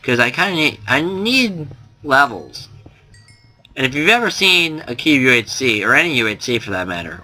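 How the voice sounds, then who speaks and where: Rambling young man with a bright voice. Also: on the high side, rough recording. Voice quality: slight lisp. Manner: rambling, self-deprecating, frequent fillers and restarts. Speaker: gameplay commentator. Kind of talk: casual game commentary.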